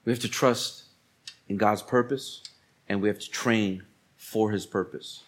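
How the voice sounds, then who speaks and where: A man's voice: fairly low pitch, steady tone, a little slow. A man preaching as a pastor in colloquial, exhorting language, repeating phrases for emphasis. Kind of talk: sermon.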